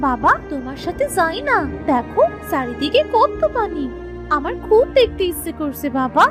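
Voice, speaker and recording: A woman's voice: extremely high pitch, sing-song, normal speed, music in the background. A woman voicing an eager, childlike village girl who pleads with her parents in a cartoon story. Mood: pleading. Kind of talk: Bengali cartoon story voice acting.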